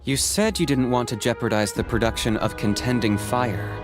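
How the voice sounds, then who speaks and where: A man acts a level-headed, shrewd adventurer in a fantasy game. His mid-range male voice is measured and cool, with music clearly playing underneath. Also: mid-range voice, close to an everyday voice. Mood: probing. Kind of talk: anime video game voice acting.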